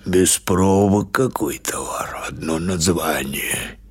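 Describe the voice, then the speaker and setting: Old village watchman, sedate and pompous, low husky voice, audiobook drama, male narrator acting it out. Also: slow pace, dry recording, full character voice. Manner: sighing, sedate, dignified. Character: gruff old village watchman, slightly tipsy, self-important.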